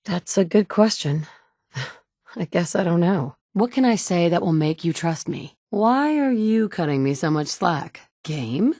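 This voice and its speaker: Determined mother in a game: blunt, dry-witted, lower register, close dry booth read. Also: lively intonation, measured pace, warm tone, dry recording. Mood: determined, wry. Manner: dry wit, blunt and curt when annoyed. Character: determined mother searching for her son, wry. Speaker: player character. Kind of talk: game dialogue lines. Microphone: close studio mic.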